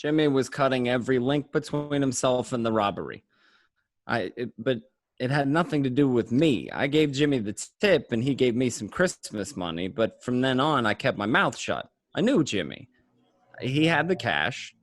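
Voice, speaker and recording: A man's voice: medium pitch, no echo. A man acting a streetwise, exasperated gangster in an amateur radio play table read.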